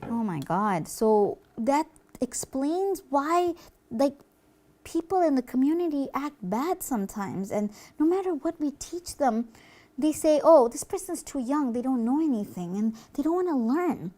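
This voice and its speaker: A woman voicing an earnest, pious good character in a children's puppet show, hesitant and gently reproachful. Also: high-pitched, big pitch swings, unhurried.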